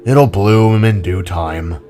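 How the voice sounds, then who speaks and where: A man's voice: low-pitched, takes it slowly, dark tone, soft background music. A man voicing an orb-headed spark creature, a reserved, teasing but encouraging big brother, in an audio drama.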